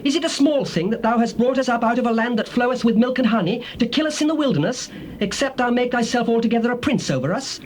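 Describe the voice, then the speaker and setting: A bitter rebel in a scripture drama. His male voice is pitched extremely high, scornful and declamatory, with faint tape hiss behind it. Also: animated, fast talker. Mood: defiant, bitter. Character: defiant, bitter male rebel against Moses.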